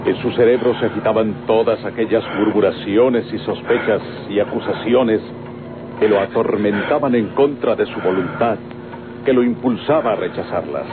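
A man with a Mexican accent giving solemn, deliberately phrased narration for a dramatic radio serial. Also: on the low side, lightly acted. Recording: tinny old radio recording, music behind.

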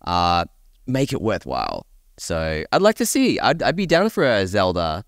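A man's voice: low-pitched, huge pitch swings, normal speed, no room sound, clean studio sound. A man co-hosting a podcast, musing thoughtfully in casual panel chat. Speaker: podcast co-host.

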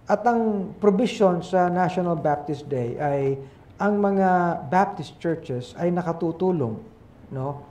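An older pastor hosts from a small studio set, speaking on a lapel mic. His voice sits a little high for a man. He talks in a fatherly, easygoing way, checking in with "okay?" after each point. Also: expressive, takes it slowly, mellow. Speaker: pastor and host. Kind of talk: casual studio talk show chat.

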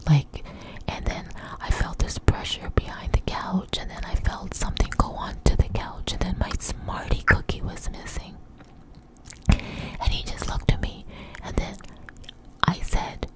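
Woman in a hushed whisper. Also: lowish voice, poor audio. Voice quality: breathy unvoiced whisper. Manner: conversational, hushed, intimate. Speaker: ASMR storyteller, host. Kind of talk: ASMR close whisper, informal storytelling. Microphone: close to the microphone.

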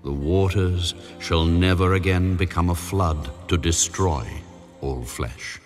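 An actor playing God in a dramatized scripture reading, speaking in slow, measured, grave pronouncements. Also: male voice, sits low. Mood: solemn. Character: God, solemn commanding male deity. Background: soft music.

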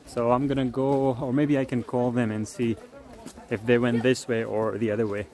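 A man vlogging outdoors at a historic site, chatting casually with many fillers and pauses as he films on a handheld camera. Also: mid-range voice, level tone, a little mellow. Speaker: travel vlogger. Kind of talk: casual walking tour narration.

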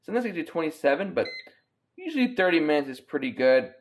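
A man's voice: on the high side, big pitch swings, quick pace, a little hiss or hum. A young man hosting a joking cooking tutorial in his home kitchen, talking offhand to the camera while he works. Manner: playful, offhand, talks to camera while working. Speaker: home cook host. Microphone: camera mic, wide-angle camera on counter.

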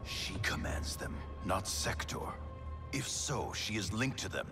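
Grave, disciplined fighter, low restrained game dialogue with very loud music behind. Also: male voice, lively intonation, a little slow. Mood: somber. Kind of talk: dramatic video game cutscene acting.

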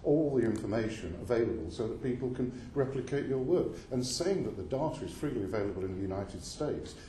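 A man's voice: medium pitch, expressive, a little fast, dark tone. A man questioning a witness at a parliamentary committee hearing, pressing and insistent, stumbling and restarting his sentences. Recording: roomy hall sound with faint noise.

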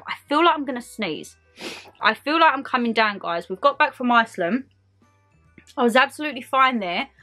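A young woman, chatting casually to viewers in a haul vlog, a bit congested and hoarse from a cold. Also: high-pitched, pushed and bright. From United Kingdom. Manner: bubbly, chatty, talks straight to viewers. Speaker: haul vlogger. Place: bedroom decorated for Christmas, indoors.

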